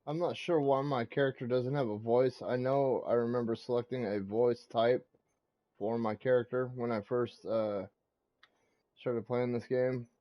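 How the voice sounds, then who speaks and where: A man's voice: medium pitch, steady tone, measured pace, highs cut off. A man giving casual gameplay commentary as a streamer, talking through what he is doing. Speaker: game streamer.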